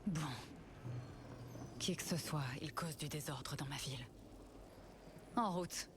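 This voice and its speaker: Cool, low-voiced woman detective. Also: slow pace, background noise. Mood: serious, determined. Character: cool, wry female mage detective, seasoned city local. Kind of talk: dubbed fantasy game acting. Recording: loud game ambience behind the voice.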